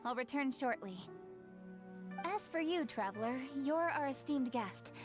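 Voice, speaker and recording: Young game official: high, brisk, crisply commanding yet polite, faint music underneath. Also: female voice, animated. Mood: brisk, businesslike. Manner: businesslike, polite, decisive. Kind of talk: video game cutscene voice acting.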